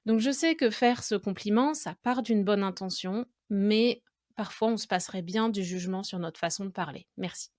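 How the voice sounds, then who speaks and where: A woman's voice: medium pitch, normal speed, a little mellow, no room sound, studio quality. A French woman hosting an educational podcast, talking to the listener directly in a reflective, conversational way. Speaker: podcast host and French teacher.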